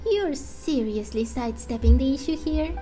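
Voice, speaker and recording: A woman voicing a small, excitable, sceptical fairy companion in a game, speaking in an exclamatory way. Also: high voice, normal speed. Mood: indignant. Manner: exclamatory, indignant, speaks of herself by name. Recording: music under the voice.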